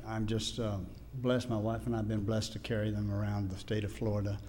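A man's voice: on the low side, flat delivery, fairly quick, mellow. A man informally introducing a guest speaker to a church congregation. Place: church hall. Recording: echoey church hall, long reverb.

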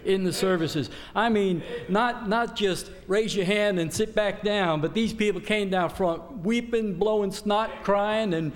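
A man's voice: high voice, a little slow, hardly any pauses. An older man, a missionary preacher, telling his congregation about his trip in a rambling, informal way full of restarts. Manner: rambling, many restarts and fillers. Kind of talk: informal sermon report with a joke.

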